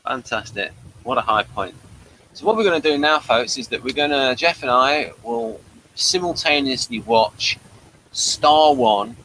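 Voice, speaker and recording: A man's voice: medium pitch, a little slow, pushed and bright, slight background noise, low-quality recording. A man hosting a casual review discussion in a conversational style. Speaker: podcast host.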